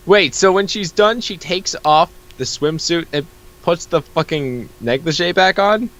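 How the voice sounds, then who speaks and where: A man's voice: fairly high pitch, unhurried, a bit bright. A man co-hosting in casual banter, sardonic and exasperated, with plenty of swearing. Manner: exasperated, sardonic, foul-mouthed. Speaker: co-host reacting to a webcomic.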